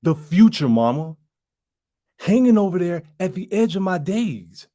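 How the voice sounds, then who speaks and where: A man's voice: high voice, big pitch swings, unhurried, mellow. A man playing an earnest, yearning son pleading with his mother in an emphatic dramatic audition monologue.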